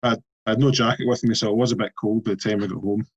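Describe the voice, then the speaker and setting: A Scottish man co-hosts a podcast in an easy, rambling style and often stumbles over repeated words. His voice is lowish, and the dull, lo-fi sound of a remote call flattens it. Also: racing. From Scotland. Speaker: podcast co-host. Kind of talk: casual interview chat.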